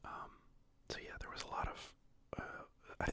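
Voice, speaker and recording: A fully unvoiced, close whisper that drifts along in a loose, halting way, with many restarts and filler words. The speaker is getting over being sick and sniffles now and then. It is a calm ASMR-style walkthrough. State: sniffly, recovering from illness. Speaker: card game deck explainer.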